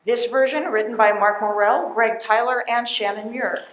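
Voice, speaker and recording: Echoey, high-voiced woman narrator. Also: fairly even intonation, normal speed, a bit bright, slight background noise. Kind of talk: scripted radio play narration. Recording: echoey live room, faint music.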